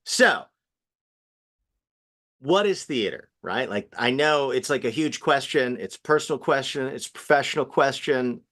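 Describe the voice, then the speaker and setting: A man's voice: medium pitch, measured pace, fairly bright tone. A man hosting an online panel in a casual, joking, informal way. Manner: informal, playful. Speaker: panel moderator, host.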